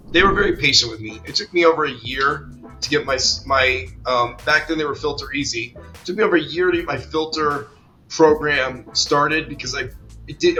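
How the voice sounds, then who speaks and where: A man's voice: on the high side, bright, forceful tone, rough recording. A man hosting a conference interview, a little under the weather, talking in an enthusiastic, rambling way with many restarts. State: slightly ill, voice a bit off. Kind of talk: casual interview chat.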